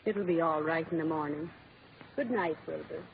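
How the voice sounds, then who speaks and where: An actress playing a stubborn, long-suffering middle-aged wife in an old-time radio comedy, plain and resigned. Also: female voice, low-pitched, normal speed, vocal fry. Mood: resigned, tender. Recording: muffled old radio recording, faint noise.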